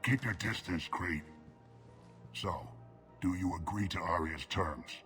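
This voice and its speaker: An actor playing a gruff, businesslike alien mercenary enforcer in a video game, terse and commanding. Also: male voice, sits low, takes it slowly, fairly bright tone, soft background music. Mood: stern.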